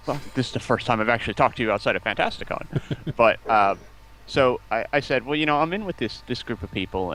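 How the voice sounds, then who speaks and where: Low-voiced man in casual conversation. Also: a bit bright, poor audio. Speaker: interview guest. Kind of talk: casual chat, recounting.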